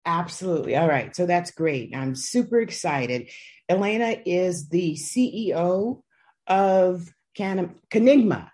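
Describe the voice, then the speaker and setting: Very low, mellow-voiced woman podcast host. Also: animated, unhurried. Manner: chatty and enthusiastic. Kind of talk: casual podcast interview.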